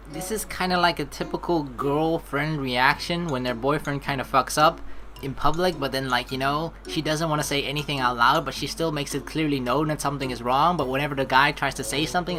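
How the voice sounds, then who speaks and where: A woman narrating a game playthrough, with animated, jokey asides and some swearing. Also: deep voice. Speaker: let's play streamer. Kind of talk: playthrough narration with casual reactions. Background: background game music.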